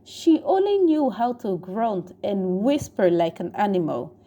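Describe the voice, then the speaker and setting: A woman's voice: mid-range voice, very animated, measured pace, mellow. A woman narrating a scripted story in a dramatic storytelling style. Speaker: storyteller, channel host.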